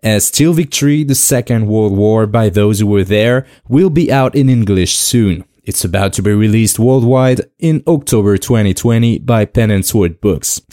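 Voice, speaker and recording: A man's voice: lowish voice, normal speed, few pauses, dark tone, no room sound, clean studio sound. A French man in his thirties with a French accent, hosting a podcast in a scripted, earnest and enthusiastic manner. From France. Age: 30s. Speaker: podcast host.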